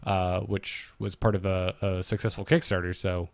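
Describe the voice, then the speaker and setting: A man's voice: low-pitched, level tone, moderate pace, no room sound. Casual man podcaster, dry studio sound. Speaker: podcast co-host. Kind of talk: casual, unscripted fan discussion.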